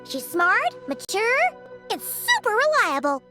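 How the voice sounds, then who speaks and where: A woman voicing a small, excitable childlike fairy companion in a video game, with a squeaky voice. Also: measured pace, over music, full character voice. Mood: curious, earnest. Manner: excitable, refers to self in third person.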